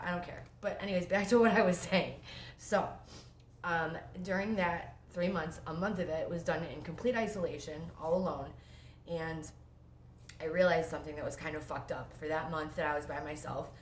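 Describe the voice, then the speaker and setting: A young woman with a sniffly cold, telling her own story in a rambling casual way at home, full of fillers and self-interruptions. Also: low-pitched. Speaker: vlogger sharing her recovery story. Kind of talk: casual first-person storytelling. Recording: echoey room with faint noise.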